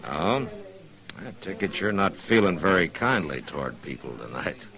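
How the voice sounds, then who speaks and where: A very low-pitched, authoritative American lawman of middle age. He speaks calmly and firmly, in the manner of an old-time radio western. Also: normal speed, heavily acted. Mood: wry, concerned. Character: calm, authoritative frontier marshal.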